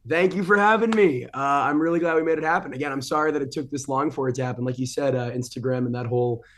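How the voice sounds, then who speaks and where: A young American man from Boston talks as an interview guest. His voice sits at a medium pitch, and his delivery is easygoing and informal. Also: fast, a bit bright. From Boston, United States. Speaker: guest, broadcaster and ring announcer. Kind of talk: casual interview chat.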